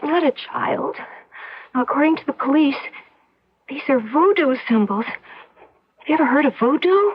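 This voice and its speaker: An actress playing an anxious, shaken wife in an old-time radio mystery drama. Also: female voice, sits high, lively intonation, unhurried, long pauses. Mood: worried. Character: anxious, shaken wife, affectionate toward her husband. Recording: dull old radio recording.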